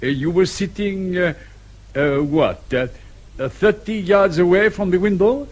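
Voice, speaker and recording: An actor playing a suave, sceptical police colonel in a radio drama, formal, precise and sarcastic. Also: male voice, sits high, measured pace, a little mellow. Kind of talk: British radio drama acting.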